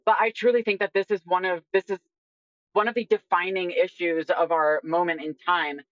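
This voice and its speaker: A woman's voice: on the low side, steady tone, moderate pace, dry recording, muffled recording. A woman in her twenties talking earnestly as a guest in a conversational discussion, often saying "like". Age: 20s. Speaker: guest, detransition advocate.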